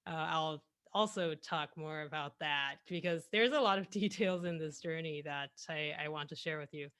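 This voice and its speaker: Bright-toned young woman speaking into a headset on a video call, dry and close with little room sound. She talks in a chatty way, often restarting phrases and slipping in small laughs. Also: deep voice, normal speed, smooth, no room sound. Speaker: guest speaker. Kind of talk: presenting over a video call.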